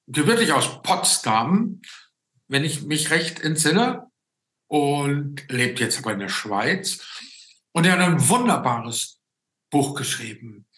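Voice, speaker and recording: Male host, sixties, bright forceful tone, halting conversational delivery, echoing room on a video call. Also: mid-range voice. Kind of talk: casual video-call interview.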